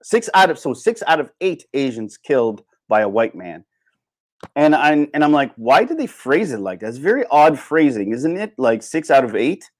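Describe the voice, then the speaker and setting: A man's voice: mid-range voice, very animated, normal speed. Man, livestream host, informal opinionated monologue, emphatic repetition, dry sound with little room echo. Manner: emphatic, repeats phrases for emphasis; informal, with occasional swearing.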